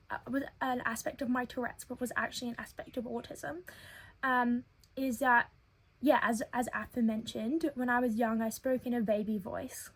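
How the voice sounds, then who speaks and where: Breathy, childlike young woman vlogger. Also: fairly high pitch. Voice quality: childlike head voice. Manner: earnest, thoughtful, with frequent filler pauses. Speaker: web series creator. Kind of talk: personal storytelling vlog.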